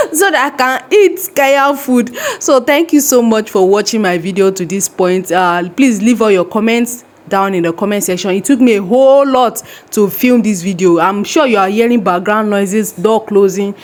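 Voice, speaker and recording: A woman's voice: medium pitch, normal speed. A young Nigerian woman with a Nigerian accent, chatting casually in her vlog and telling stories in Nigerian English. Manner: lively storytelling with Nigerian English phrasing. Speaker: vlogger.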